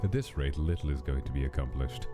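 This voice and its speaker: A man voicing an aloof skeleton scientist in a fan comic dub, speaking in a measured, analytical way. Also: a bass voice, over music. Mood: detached.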